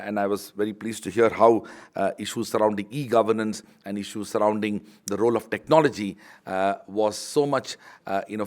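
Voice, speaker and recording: A middle-aged man with an Indian English accent, a Tamil native speaker, giving a formal speech at a book launch as a university vice chancellor, with measured academic phrasing and frequent pauses. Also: on the low side.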